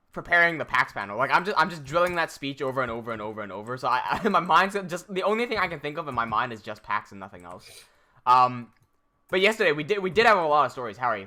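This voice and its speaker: A man's voice: a little high, pushed and bright. A young man from Hong Kong telling a rambling story to his live-stream chat, full of restarts and quoted back-and-forth.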